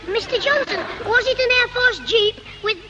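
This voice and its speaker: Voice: very high voice, pushed and bright, highs cut off. An actor playing a cheeky, bossy schoolboy with a Northern English accent in a 1960s radio comedy. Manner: cheeky, quick-retorting. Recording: noisy 1960s radio recording.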